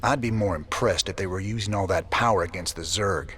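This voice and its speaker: An actor playing a world-weary, principled middle-aged rebel commander with a laconic, folksy Southern US drawl in a video game. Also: male voice, brisk, fairly bright tone, a little hiss or hum. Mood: dry, grim. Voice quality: low, gravelly. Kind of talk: video game cinematic acting.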